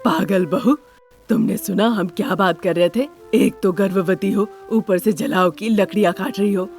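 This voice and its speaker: A woman's voice: mid-range voice, normal speed, darkish tone, faint music behind, voice pushed down, lightly acted. A woman voicing a caring, worried older parent-in-law from a poor family in a moral story. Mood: scolding concern.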